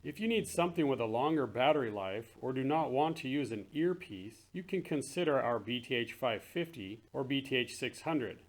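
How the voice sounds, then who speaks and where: A man's voice: medium pitch, animated, moderate pace, few pauses. A man presenting products as a company spokesman in a straightforward promotional video style. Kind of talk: scripted product narration, later casual demo chat.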